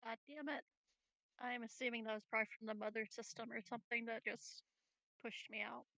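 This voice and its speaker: Bright, creaky-voiced female gamer. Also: on the high side, little pitch movement, a little fast, no echo, low-quality recording. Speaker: tabletop game player. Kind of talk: casual chat.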